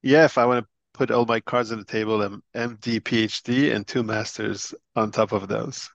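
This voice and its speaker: A gravelly, somewhat low-voiced middle-aged man from Lebanon, speaking as an interview guest. He explains with animated conviction, restarting phrases often. The sound is dry and a little muffled. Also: moderate pace, no room sound. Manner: earnest, animated explainer with frequent restarts and laughs. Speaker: interview guest, physician and company chief. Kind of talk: conversational interview.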